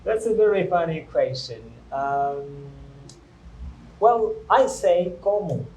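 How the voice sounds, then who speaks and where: A man's voice: sits high, lively intonation, slow pace, a little mellow. Echoey young man answering, Portuguese native. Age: 20s. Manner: earnest, hesitant, restarts often. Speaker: guest. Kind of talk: interview answers. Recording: echoey room, faint noise.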